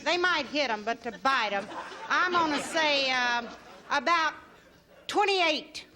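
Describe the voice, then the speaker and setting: A woman's voice: high voice, animated, slow, noisy background. A woman from Texas with a Texan accent, a game show contestant who talks in a folksy, joking way. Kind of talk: casual chat. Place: TV studio with live audience. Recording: old broadcast TV audio with loud audience.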